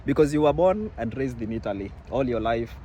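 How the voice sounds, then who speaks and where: A man's voice: mid-range voice, expressive, fairly quick, warm tone, lo-fi sound, slight background noise. A young man from Kenya hosting a casual chat in a probing, questioning manner. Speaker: vlog host, interviewer.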